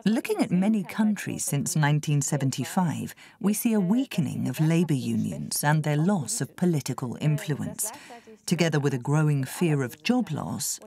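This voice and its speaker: A woman speaks as an interviewed expert, stressing her points seriously and emphatically. Her voice is low and mellow, and her pitch moves a lot as she leans into key words.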